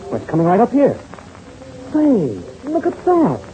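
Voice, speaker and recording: An actor playing a confident, decisive American pilot and senior adventurer in a hearty 1930s radio serial style. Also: male voice, very high voice, huge pitch swings, a little slow, mellow, dull audio, full character voice. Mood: keen. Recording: faintly hissy old radio recording.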